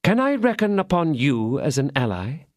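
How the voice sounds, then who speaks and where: A man voicing a scheming, vindictive noblewoman who speaks in a measured, coaxing way. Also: a little high, huge pitch swings, no room sound, clean studio sound, voice pushed up.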